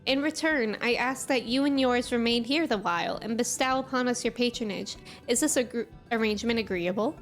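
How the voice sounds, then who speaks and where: Woman voicing a proud, formal fae creature, high-pitched. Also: faint music behind, lightly acted. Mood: solemn, formal. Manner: formal, measured, old-fashioned. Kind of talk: reading game dialogue aloud.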